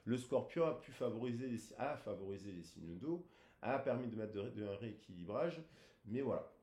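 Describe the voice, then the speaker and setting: A man giving a casual tarot reading, rambling with many pauses and fillers. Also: lowish voice, lively intonation, dark tone. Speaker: tarot reader.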